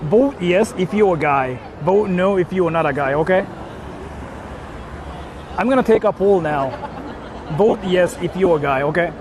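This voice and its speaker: A man's voice: high-pitched, measured pace, pauses often. A young man from Japan with a Japanese accent, chatting casually as a live streamer, informal, repetitive and free with swearing. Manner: repeats himself, informal, swears freely. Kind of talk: casual live-stream chat, answering viewer comments. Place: outdoors on a busy city street at night. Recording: street noise, traffic and crowd.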